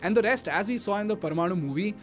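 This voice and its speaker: Male explainer narrator, Indian accent, high register, emphatic delivery to the audience, light music bed. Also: expressive, quick pace. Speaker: video narrator.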